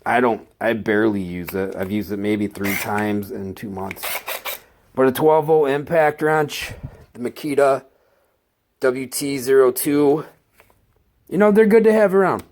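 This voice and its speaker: A man with a head cold talks through his work kit at an unhurried pace, often pausing mid-thought. His stuffed-up voice sits at an ordinary pitch, and he gets eager when a favorite piece of gear comes up. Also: darkish tone. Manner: slow, halting, enthusiastic about gear. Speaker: maintenance tech showing his tools. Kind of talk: casual tool walkthrough.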